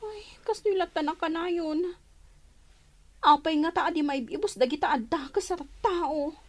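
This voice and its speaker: Lady playing upset young village girl, really high voice, plaintive radio drama acting. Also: normal speed. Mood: aggrieved, worried.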